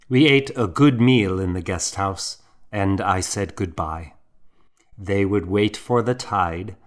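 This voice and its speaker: Guy reading a historical novel, deep voice, takes his time, kinda dramatic storyteller thing. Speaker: audiobook narrator. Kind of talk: scripted first-person novel narration.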